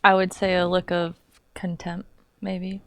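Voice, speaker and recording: A woman from Charlotte Harbor, a healthcare professional, speaking softly and a bit hesitantly in casual chat. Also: on the low side, level tone, measured pace, no room sound. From Charlotte Harbor, Florida.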